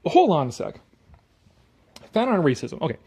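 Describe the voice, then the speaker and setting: A man's voice: fairly high pitch, huge pitch swings, moderate pace, a little mellow, slightly rough. A Canadian man giving casual, opinionated commentary on an article he reads aloud, sarcastic, exasperated and given to swearing.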